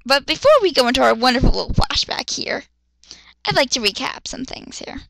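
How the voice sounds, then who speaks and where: A woman's voice: high voice, animated, brisk, creaky, fairly bright tone, dry recording. A woman doing an amateur dramatic reading, breaking in with exasperated, sweary, sarcastic commentary in a theatrical way. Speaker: amateur dramatic reader and critic.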